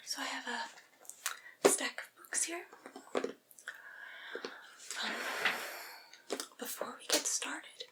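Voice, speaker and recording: A woman whispering softly and breathily in an ASMR roleplay, playing a doting, slightly flustered familiar with a gentle, hesitant, soothing manner. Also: sits high, rough recording.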